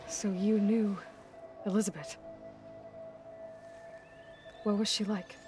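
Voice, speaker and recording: An actress playing a determined, defiant young huntress in a video game, speaking directly and earnestly with a probing edge. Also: female voice, mid-range voice, flat delivery, slow pace, darkish tone, faint music behind, natural voice. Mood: probing, guarded. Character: determined, defiant young female hunter, blunt and caring. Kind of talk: dramatic video game voice acting.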